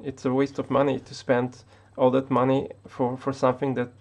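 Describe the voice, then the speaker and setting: A man's voice: mid-range voice, completely flat delivery, normal speed. A man from Austria, not an English speaker, talking unscripted to the camera as a tech YouTuber, hesitant with many restarts.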